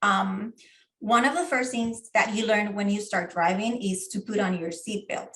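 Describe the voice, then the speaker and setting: A middle-aged woman speaks as an interview guest. Her voice sits at an ordinary middle pitch, and she talks earnestly, often pausing on fillers and restarting her phrases. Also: fairly bright tone, a little hiss or hum. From Puerto Rico. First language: Spanish. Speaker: guest, diabetes advocate and parent. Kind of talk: casual interview.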